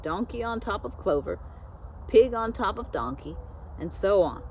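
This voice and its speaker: A woman's voice: mid-range voice, expressive, normal speed, a little mellow, a little hiss or hum, lightly acted. A woman voicing a proud, bossy know-it-all female goose in a storybook, emphatic and self-assured. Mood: smug.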